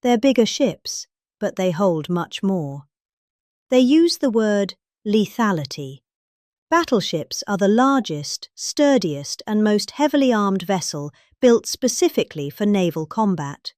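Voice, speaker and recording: A woman's voice: medium pitch, sing-song, measured pace, darkish tone, dry recording, clean studio sound. A middle-aged British woman giving a theatrical parody reading of a speech, with exaggerated, emphatic delivery. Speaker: performer reading a political speech.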